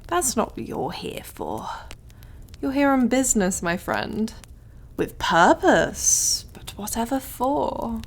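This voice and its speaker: A woman's voice: on the high side, expressive, slow pace, heavily acted. A woman voicing a suave, condescending devil in an ASMR roleplay, drawling and over-polite in a theatrical, taunting way. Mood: smug, taunting. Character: suave, mocking, condescending devil who deals in soul contracts.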